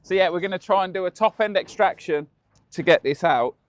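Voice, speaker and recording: A man's voice: high-pitched, a little fast, no room sound. A man with a Birmingham accent chatting casually and explaining a truck repair in a workshop. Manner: chatty, laughing, explaining while working. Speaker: channel host.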